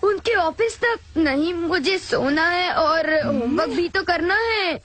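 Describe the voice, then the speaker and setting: A woman voicing a whiny, lazy, excitable schoolboy in a Hindi cartoon dub. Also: very high voice, slow pace, heavily acted. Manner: whiny, pleading, childlike. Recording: music under the voice.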